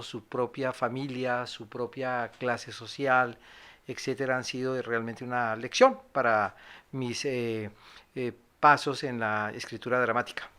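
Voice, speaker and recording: A Colombian man speaking as a theatre director on a panel, in a halting, deliberate and reflective way with many pauses. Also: mid-range voice, fairly bright tone, clean tone. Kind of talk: reflective spoken introduction.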